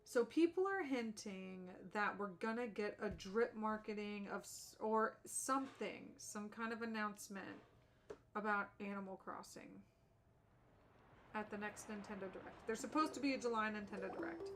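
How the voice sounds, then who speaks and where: A woman's voice: medium pitch, normal speed, a bit bright, a little hiss or hum. A woman chatting casually on a live game stream in an informal, rambling way. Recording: game music behind the voice. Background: video game music.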